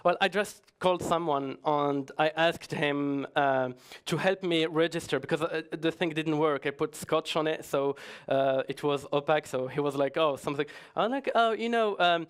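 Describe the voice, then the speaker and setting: A man's voice: fairly high pitch, steady tone, moderate pace, hardly any pauses, clear, resonant tone. A man giving a conference talk in a chatty, joking way, often hesitating and restarting. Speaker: conference speaker.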